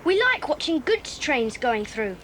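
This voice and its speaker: This is an excitable small boy in a storybook drama, as a woman voices him. His voice is very high and jumps around in pitch. He fires off quick, eager questions. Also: slight background noise, exaggerated voice. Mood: excited. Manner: excitable, whispering asides. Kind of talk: audio drama for children.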